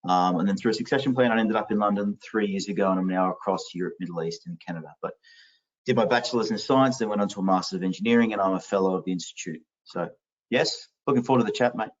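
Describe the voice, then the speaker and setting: A man's voice: fairly low pitch, fast talker. A middle-aged man with an Australian accent, answering interview questions in a webinar, laying out facts and definitions steadily over a video call headset. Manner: fact-heavy, explanatory, steady. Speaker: interviewee, sustainability executive. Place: home office, video call. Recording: lo-fi distant video call audio.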